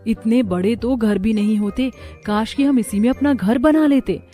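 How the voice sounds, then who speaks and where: A woman's voice: fairly high pitch, moderate pace, dark tone, faint music behind, close to an everyday voice. A woman voicing a humble, hardworking young daughter-in-law in a cartoon story, earnest and plaintive. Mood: wondering. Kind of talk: Hindi cartoon story character.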